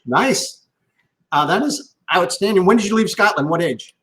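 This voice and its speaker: A man's voice: sits high, expressive, moderate pace, a bit bright. A man hosting a live-streamed interview over a video call, upbeat, chatty and jokey. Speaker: host.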